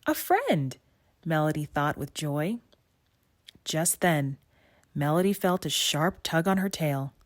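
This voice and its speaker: A woman reads a storybook aloud with expression. Her voice is low and she takes her time over each phrase. The recording is dry, with no room sound. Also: dry recording. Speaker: storybook reader. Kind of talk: expressive children's read-aloud narration.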